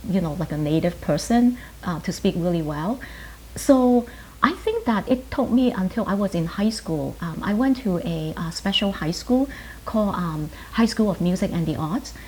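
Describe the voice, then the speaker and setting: A woman in her fifties from Hong Kong, a native Chinese speaker, reflecting on her life in an earnest, halting way in short phrases. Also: fairly low pitch, a little mellow, low-quality recording. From Hong Kong, later New York. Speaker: pharmacist and writer being interviewed. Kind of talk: reflective personal interview answers. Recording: hissy background noise.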